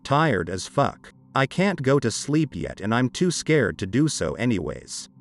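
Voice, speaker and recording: Man narrating creepy stories, lively pitch. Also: unhurried. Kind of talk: scripted narration of horror greentext stories. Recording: dark ambient music behind. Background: dark horror background music.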